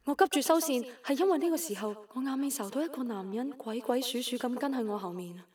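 A woman's voice: high-pitched, quick pace, a little mellow, breathy edge, dry recording, clean studio sound. An actress playing an easily flustered, skeptical young woman in a radio drama, with a Hong Kong accent. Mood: nervous, dramatic. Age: young adult. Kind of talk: Cantonese radio drama acting and first-person narration.

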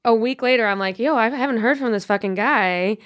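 A woman's voice: fairly high pitch, fairly quick, a bit bright. A woman in her thirties, a comedian guest telling a story bluntly and profanely in casual podcast chat. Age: 30s.

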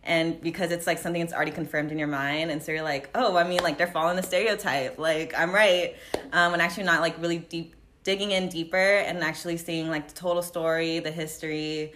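A woman's voice: deep voice, steady tone, a little fast, fairly bright tone, slight background noise. A young American woman answering interview questions about herself in a casual, reflective way, peppering her talk with "like". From United States, Los Angeles. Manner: reflective, informal, frequent "like".

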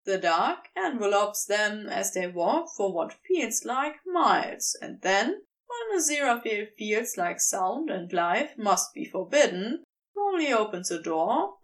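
A woman reading scripted fiction aloud as an expressive story narrator. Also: mid-range voice, unhurried, far from the mic. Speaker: podfic reader.

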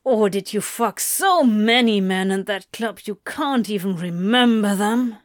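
A woman's voice: medium pitch, unhurried, dry recording, clean studio sound, lightly acted. Woman as a jealous, growling, bitter man. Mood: bitter mocking. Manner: growling, hissing, forced through gritted teeth. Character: jealous, bitter, mocking male lover of an angel.

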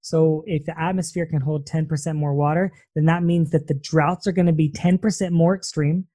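A man's voice: a little high, fairly even intonation, normal speed, dark tone, no echo, studio quality. A man hosting a casual interview podcast, talking in a rambling, filler-heavy conversational way. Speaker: podcast host.

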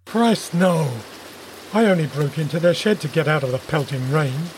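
Woman voicing a low-pitched, nervous male intruder. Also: moderate pace, slight background noise, close to an everyday voice, poor audio. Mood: apologetic, offended. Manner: forceful, terse, hesitant. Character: fairly tall thick-set male intruder, nervous, down on luck.

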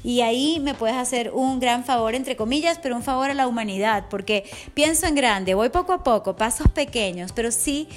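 A woman's voice: a little high, expressive, moderate pace, few pauses. A middle-aged woman hosting a wellness podcast, giving an enthusiastic, affectionate, chatty motivational monologue. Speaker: wellness coach podcast host.

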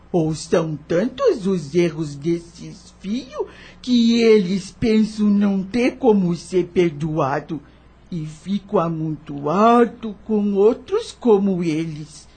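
An actress playing a wise, devout old enslaved nanny in a radio drama, gentle and motherly, with a husky voice and rustic, unschooled speech. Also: on the low side, heavily acted. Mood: solemn, patient. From taken by force from her homeland. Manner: rustic uneducated grammar, patient moral teaching.

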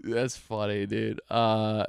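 A young man co-hosting a podcast, chatting casually about movies with an animated, joking, opinionated manner. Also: on the low side, takes it slowly, vocal fry, clean tone. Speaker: podcast co-host. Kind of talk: casual chat.

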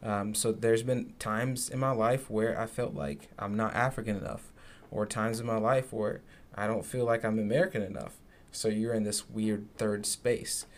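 Young male podcast co-host from Zimbabwe, lowish voice, earnest and reflective. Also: steady tone, moderate pace. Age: young adult. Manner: earnest, reflective, many fillers. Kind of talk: casual podcast conversation.

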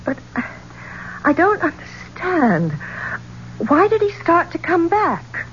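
A woman's voice: extremely high pitch, sing-song, slow, a bit bright, heavily acted. An actress playing a bold woman singer in a 1970s radio drama, speaking in a dramatic, emphatic way. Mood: shaken. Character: bold American woman singer cornered in an Egyptian tomb. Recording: muffled, noisy old radio recording.

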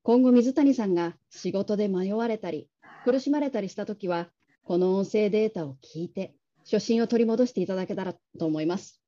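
Caring woman manager actress, radio drama, courteous, very mellow, mid-pitched, slightly dull sound. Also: natural voice. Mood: earnest, measured. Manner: gentle, measured, courteous. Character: polite, caring, discreet female call-centre manager.

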